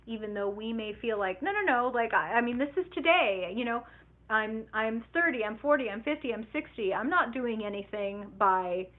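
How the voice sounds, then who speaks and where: Woman, live tarot reader, chatty and animated, casual cursing, acts out inner voices, tinny phone-like audio. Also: a little high, normal speed. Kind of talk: live-streamed tarot reading.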